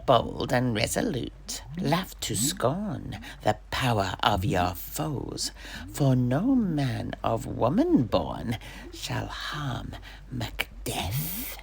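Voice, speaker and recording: A performer voicing a sinister witch chanting spells slowly and theatrically in a creaky, whispery voice. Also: medium pitch, wildly swooping pitch, full character voice. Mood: gloating menace. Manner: slow, incantatory, theatrical chanting.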